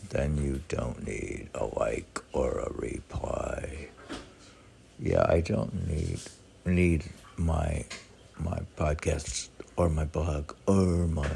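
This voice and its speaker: A man's voice: rumbling low, vocal fry, mellow. An older man musing casually and reciting short poems, rambling along as he asks and answers his own questions. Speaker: poet, meditation teacher. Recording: faint background noise with clicks.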